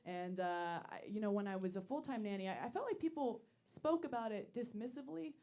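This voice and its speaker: Deadpan woman comic with a deep voice. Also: brisk. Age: late 20s. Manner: deadpan, self-deprecating, with many ums. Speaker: stand-up comedian. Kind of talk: stand-up set. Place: comedy club stage.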